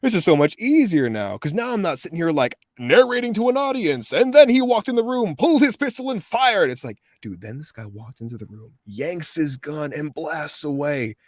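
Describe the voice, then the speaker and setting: A man's voice: fairly high pitch, normal speed, few pauses, no echo. A man in his thirties, a voice actor telling stories in a casual interview and acting out the voices he quotes. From USA. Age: 30s. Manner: animated storyteller, acts out quoted voices. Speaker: voice actor guest.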